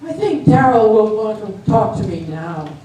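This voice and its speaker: A woman's voice: medium pitch, sing-song, measured pace, mellow. An amateur actress, a woman playing a nosy, needling small-town reporter in a stage comedy reading, sly and wry. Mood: sly, probing. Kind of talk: amateur readers theater comedy acting. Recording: very echoey hall from far off, faint hiss.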